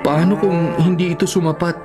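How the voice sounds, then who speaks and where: An actor playing an anxious, questioning younger brother in a Filipino radio drama. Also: male voice, high-pitched, steady tone, fairly quick, natural voice. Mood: worried. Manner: worried, questioning. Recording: radio drama with music underneath.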